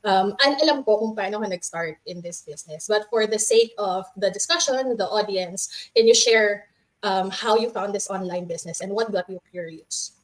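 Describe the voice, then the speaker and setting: Filipino woman in her thirties, friendly live-chat host, laughs easily, medium pitch. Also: moderate pace, rough recording. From Philippines. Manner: friendly, chatty, laughs often, switches between English and Tagalog. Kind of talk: casual livestream chat.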